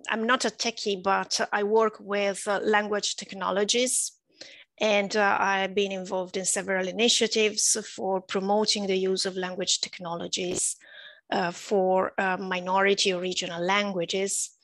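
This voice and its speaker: A middle-aged woman with an Italian accent, a native Italian speaker, speaking on a panel over a video call with a headset, measured and thoughtful with frequent hesitations. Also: medium pitch, a bit bright, dry recording. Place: indoor home office.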